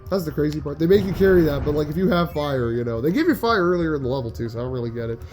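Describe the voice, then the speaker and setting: A young man talks through a video game in a loose, rambling way, tripping over his words and circling back to finish his thoughts. His voice sits a little on the high side and has a faint nasal edge. Bright game music plays clearly underneath him. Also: animated, very fast. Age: young adult. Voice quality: slightly nasal. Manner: rambling, chatty, frequent restarts and filler likes. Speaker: gaming channel host. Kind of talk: casual gameplay commentary.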